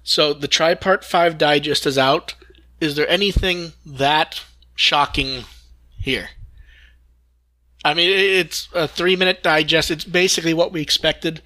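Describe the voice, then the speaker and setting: Bright-voiced man hosting a podcast. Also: on the high side, a little slow. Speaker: podcast host. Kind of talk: casual news discussion on a podcast.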